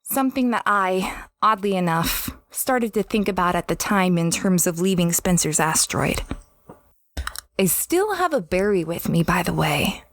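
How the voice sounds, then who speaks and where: A woman's voice: on the low side, lively intonation, moderate pace, dry recording. A woman playing an apologetic young female space adventurer in an audio drama, hesitant and self-effacing. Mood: reflective. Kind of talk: audio drama dialogue and diary-like narration.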